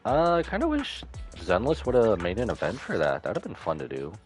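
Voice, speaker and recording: Man, game streamer, animated casual commentary in a fairly low voice, light background music. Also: moderate pace. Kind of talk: live reaction commentary and reading game text aloud. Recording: faint game music behind.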